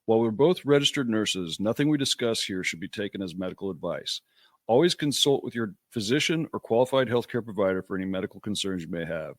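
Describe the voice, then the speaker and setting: A man co-hosting a nursing podcast, a registered nurse who talks in a casual, halting way and restarts his sentences as he explains. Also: on the low side, lively intonation, dry recording, studio quality.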